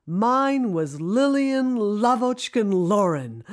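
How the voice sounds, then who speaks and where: A woman narrating a scripted first-person audio drama in an expressive, reflective storytelling manner. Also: mid-range voice, takes it slowly. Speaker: narrator, singing student.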